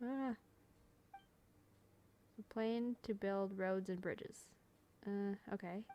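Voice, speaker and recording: Creaky-voiced woman doing casual gameplay commentary. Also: medium pitch, warm tone. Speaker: gaming video creator. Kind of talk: casual playthrough commentary and reading aloud.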